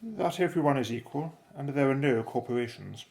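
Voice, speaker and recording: A man playing an inquisitive, level-headed expedition member in an audio drama, measured and formal. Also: mid-range voice, lively intonation, gravelly, a little mellow. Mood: inquiring.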